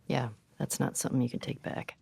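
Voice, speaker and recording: A woman's voice: rumbling low, little pitch movement, fairly quick, vocal fry, dark tone, husky, no room sound. A woman giving casual, reflective commentary in an informal way.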